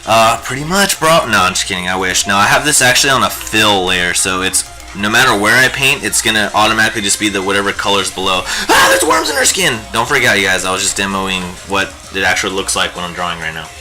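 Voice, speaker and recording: A man's voice: fairly low pitch, lively intonation, fast talker, hardly any pauses, sharp tone, faint music behind, poor audio. A young man hosting a live painting stream, chatting in a rambling, jokey way while he works. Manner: rambling and jokey, lots of fillers. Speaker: livestream host teaching digital painting.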